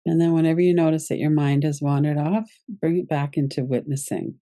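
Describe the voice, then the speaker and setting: A woman guiding a yoga nidra meditation as its teacher, giving gentle, unhurried instructions with pauses. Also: sits low, no room sound, clean studio sound.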